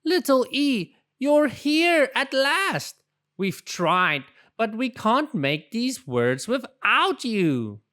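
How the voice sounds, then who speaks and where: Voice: mid-range voice, very animated, very slow, bright, forceful tone, voice pushed up, heavily acted. A storybook reader voicing childlike little alphabet letters, earnest, exclaiming and easily upset. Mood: excited, amazed. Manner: plaintive, exclaiming.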